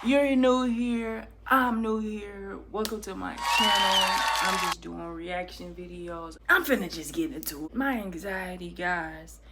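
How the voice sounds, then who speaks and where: A woman's voice: mid-range voice, a little slow, hardly any pauses. A woman in her early thirties who hosts a casual reaction vlog, talking freely and animatedly, often restarting and repeating her phrases. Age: 30s. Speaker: vlogger reacting to clips. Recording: clicking noise in the background.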